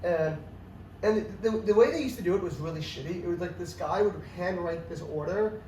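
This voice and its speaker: Young male comedian guest, high voice, excitable rambling storyteller, roomy distant mic. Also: brisk. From New York City area. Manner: excitable, rambling, lots of "like" and restarts. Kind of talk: casual storytelling chat. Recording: echoey room, mic at a distance, faint noise.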